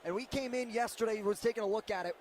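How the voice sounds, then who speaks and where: Man, high voice, football color commentator, conversational live analysis, loose phrasing, light stadium noise. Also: fast talker. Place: football stadium broadcast position. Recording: faint stadium background noise.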